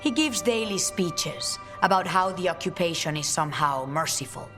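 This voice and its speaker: A woman's voice: lowish voice, animated, normal speed, a bit bright, faint music behind. A woman voicing a fierce, testy female assassin in a video game, speaking in a curt, menacing way. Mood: bitter, suspicious.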